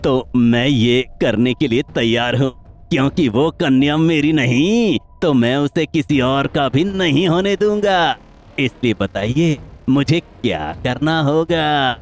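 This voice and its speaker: A man's voice: mid-range voice, animated, takes it slowly. A man voicing a rich, proud and vengeful older landlord with dramatic, self-important delivery in a cartoon story. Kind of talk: Hindi cartoon moral-story acting.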